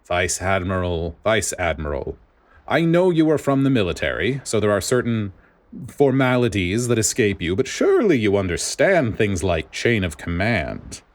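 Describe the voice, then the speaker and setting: A grating, smug male bureaucrat in a story reading. The voice sits well above a deep natural register and swings widely in pitch, with sugary, condescending, theatrical politeness. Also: very animated, normal speed. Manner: dramatic, sugary, wheedling condescension. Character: smarmy, grating male government director, fake-sweet and condescending.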